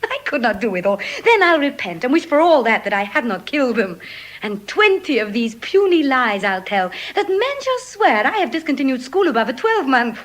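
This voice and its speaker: An actress playing a witty, high-born young lady with theatrical mischief, in classical Shakespearean style. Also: fairly bright tone. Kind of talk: classical Shakespearean stage acting.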